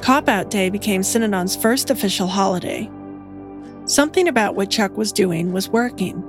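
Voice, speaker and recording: A woman narrates a documentary podcast in a measured, even storytelling manner. Her pitch sits in an ordinary middle range. Music runs clearly beneath her voice.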